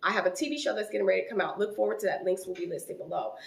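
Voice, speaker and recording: A woman in her late thirties telling a long personal story to her viewers in a casual, animated way. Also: medium pitch, rapid-fire, vocal fry, echoey room. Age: 30s. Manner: animated, rising loud when quoting an argument.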